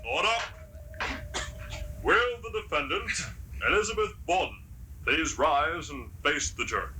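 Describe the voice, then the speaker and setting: Radio drama role for a man: authoritative judge, very sharp, animated courtroom oratory, vintage tape with slight noise. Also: on the high side, a little slow, full character voice. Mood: commanding. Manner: formal, declamatory. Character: commanding courtroom judge calling for order. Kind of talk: 1970s community radio drama. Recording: noisy 1970s live radio tape.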